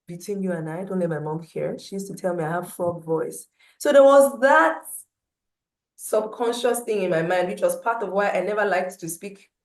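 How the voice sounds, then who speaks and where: Woman speaker, low loud voice, lively animated storytelling, roomy echo. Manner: animated storytelling with big swings in pitch and loudness. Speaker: motivational speaker. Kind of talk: storytelling talk at a breakfast meeting.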